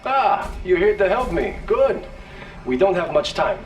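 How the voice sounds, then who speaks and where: Brisk army commander in a game, highish voice, distant, music behind. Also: male voice, sing-song. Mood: urgent, commanding. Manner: terse, commanding. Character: brisk, determined male Japanese field commander. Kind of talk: video game character dialogue.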